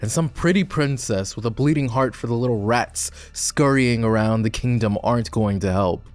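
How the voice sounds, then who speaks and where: A man playing a proud, bitter lowborn knight and war hero in a dramatic fantasy roleplay audio, with a sardonic, scornful manner. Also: normal speed, a little mellow, dry recording, faint music behind, natural voice. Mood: incredulous, bitter. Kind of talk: fantasy roleplay ASMR voice acting.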